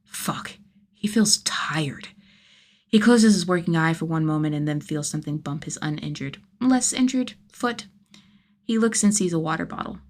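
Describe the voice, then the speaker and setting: A woman's voice: low-pitched, expressive, normal speed, a little mellow. A woman narrating a piece of fiction as an audiobook, reading from a script with expression.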